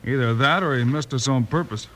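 Male actor as cool western hero, medium pitch, matter-of-fact, heavy background noise, vintage radio play. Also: a little fast, a bit bright, natural voice. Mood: alert, decisive. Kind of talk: old-time radio western acting. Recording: noisy old radio recording with clicks.

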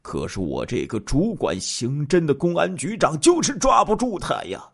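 A man voicing a burdened, emotional male police chief in a story, with emphatic, drawn-out delivery. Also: fairly low pitch, very animated, voice pushed down, heavily acted. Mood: anguished, tearful.

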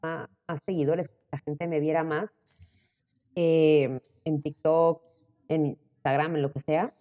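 Guest, Mexican woman, late 20s, animated storyteller, low warm tone, echoey muffled recording. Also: unhurried. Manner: animated, laughing, quoting herself in lively bursts. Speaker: interview guest, content creator. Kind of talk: casual conversation. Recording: dull audio with long reverb.

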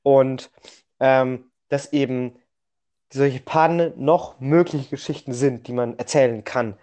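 A young man co-hosting a podcast in casual conversation, telling things in a halting way and often correcting himself. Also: medium pitch, fairly even intonation, darkish tone. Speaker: podcast co-host. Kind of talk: casual chat.

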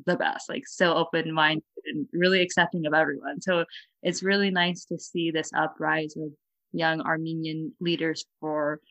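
A woman's voice: sits low, flat delivery, moderate pace, vocal fry, fairly bright tone, dry recording, rough recording. A young woman from California talking in a casual, earnest way, with a lot of "like" fillers. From California, USA. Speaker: guest. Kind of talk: casual conversation.

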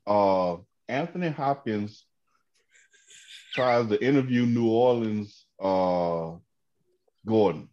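A man's voice: lowish voice, takes it slowly, long pauses, darkish tone, lo-fi sound, a little hiss or hum. A man co-hosting a comedy podcast, blunt and teasing in casual banter. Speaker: podcast co-host.

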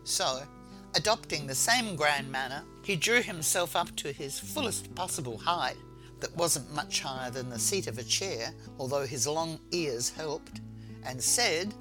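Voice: mid-range voice, animated, unhurried, bright, forceful tone, low-quality recording. Storyteller reading over prominent music. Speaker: author reading own short story. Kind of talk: storybook narration.

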